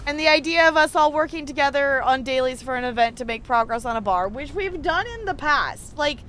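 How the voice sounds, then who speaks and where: A woman's voice: high-pitched, brisk, fairly bright tone, a little hiss or hum. A woman chatting casually and animatedly as she streams a video game live and talks to her viewers. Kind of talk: casual live stream chat with gameplay commentary.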